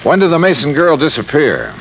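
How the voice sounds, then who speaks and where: An American actor playing a wry, probing insurance investigator in an old-time radio drama, with a clipped, dry manner. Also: male voice, fairly high pitch, huge pitch swings, brisk, creaky, a little hiss or hum, heavily acted. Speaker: lead actor, narrator. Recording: dull vintage radio recording, music.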